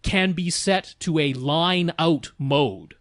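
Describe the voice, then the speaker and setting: A man's voice: fairly high pitch, slow, fairly bright tone, no echo, clean studio sound. A man co-hosting a podcast, explaining technical details methodically in a casual conversational style. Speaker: podcast co-host.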